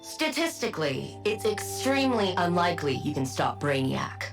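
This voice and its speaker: Icy woman scientist, low register, formal and clipped, game cutscene with music behind. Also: very animated, moderate pace, vocal fry. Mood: coolly dismissive. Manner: formal, clipped, coolly precise. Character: cold, logical woman scientist devoted to her frozen wife. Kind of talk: video game cutscene acting.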